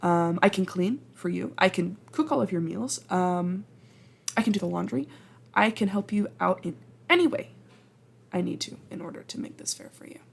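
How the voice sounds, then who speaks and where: A woman's voice: on the low side, lively intonation, moderate pace, creaky, slightly rough, exaggerated voice. A woman playing a flustered, apologetic time traveler from the future in an ASMR roleplay, speaking hesitantly, stammering and rambling in a self-deprecating way. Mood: touched, grateful. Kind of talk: ASMR roleplay acting, intimate and conversational.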